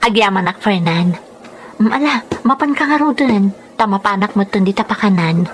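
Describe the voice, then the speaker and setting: A woman playing a grateful, gentle young woman in a radio drama, speaking politely. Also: medium pitch, lively intonation, measured pace, slight background noise.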